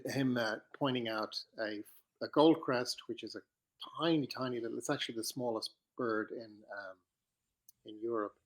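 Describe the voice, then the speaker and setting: An Irish man in his fifties, a podcast guest telling his life story in a relaxed, reflective way, with pauses and restarts. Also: mid-range voice. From Ireland. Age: 50s.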